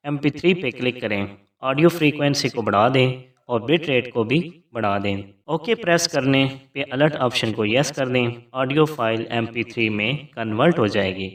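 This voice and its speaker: A man's voice: mid-range voice, animated, normal speed, far from the mic. A man narrating a scripted tutorial as host, giving formal step-by-step instructions.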